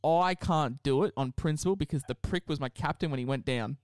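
Brief: young Aussie male podcast co-host, smooth dry close sound, blunt and opinionated. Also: fairly high pitch, fairly quick, no room sound. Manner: blunt, swears casually, opinionated. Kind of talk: casual sports chat.

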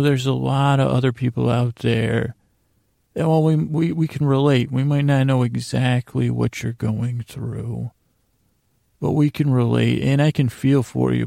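A man hosting a bedtime podcast with a creaky, gravelly voice, rambling and halting through digressive monologue that often trails off. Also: medium pitch, mellow, no echo, studio quality. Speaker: podcast host. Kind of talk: rambling bedtime monologue to lull listeners to sleep.

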